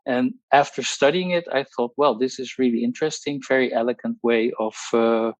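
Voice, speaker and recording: A man's voice: medium pitch, no room sound. Dutch-accented man in his sixties, dry close sound. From Netherlands. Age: 60s. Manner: hesitant, many pauses and restarts, technical wording. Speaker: guest, pharmacologist. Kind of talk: personal testimony on a group call.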